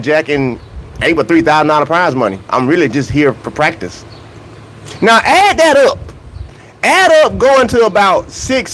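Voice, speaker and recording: A man's voice: high voice, a bit bright. A young man rants in a casual, comedic way about track and field. He laughs between points and keeps asking rhetorical questions.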